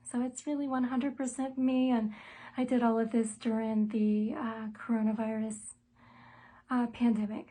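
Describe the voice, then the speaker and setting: Light-voiced woman, measured and thoughtful. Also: fairly high pitch, level tone, mellow. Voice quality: light, soprano-range voice. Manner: thoughtful and measured, with frequent "um" and "uh" pauses. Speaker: musician and songwriter interviewed about her album. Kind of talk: self-told interview answers, conversational.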